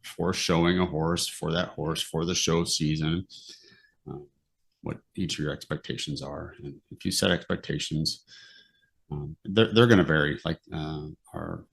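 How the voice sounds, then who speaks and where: A man's voice: a bass voice, fairly even intonation, measured pace, vocal fry. A middle-aged American man chatting casually and giving advice, thinking aloud in short, hesitant phrases. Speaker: podcast guest, amateur horse owner.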